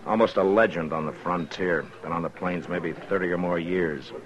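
An American actor playing a stern, weary frontier lawman in a 1950s radio western, plain-spoken and measured. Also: deep voice, steady tone, dull audio, crowd noise, close to an everyday voice. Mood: grim, reflective. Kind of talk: 1950s radio western acting, partly first-person narration.

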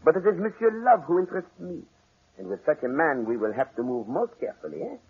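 Self-assured male detective, French accent, husky voice, animated swooping delivery, muffled old 1940s radio recording. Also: a little high, fast, full character voice. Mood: explaining, knowing. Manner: theatrical, precise, formal phrasing. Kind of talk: 1940s radio mystery acting.

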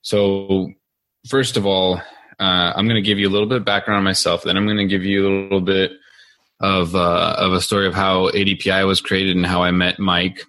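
A man's voice: low voice, flat delivery, a little fast, fairly bright tone, no room sound. A man in his thirties who grew up in Ukraine, a company CEO telling his story in a casual podcast interview, chatty with many fillers and restarts.

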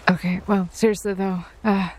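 A woman's voice: medium pitch, flat delivery. A woman playing a tabletop roleplaying game, slipping in and out of character in a playful, chatty way. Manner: playful, chatty, quick to laugh at herself. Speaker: player.

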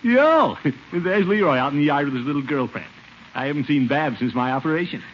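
An actor playing a pompous, jovial, chuckling middle-aged uncle in a 1950s radio comedy. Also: male voice, fairly high pitch, expressive, brisk, lightly acted. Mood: eager. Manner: blustering, chuckling, boastful. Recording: muffled, noisy old radio recording.

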